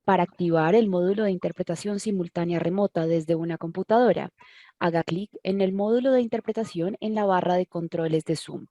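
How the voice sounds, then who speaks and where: A woman's voice: fairly low pitch, a little fast, mellow, dry recording. A woman reading scripted step-by-step instructions for a tutorial. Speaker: tutorial narrator.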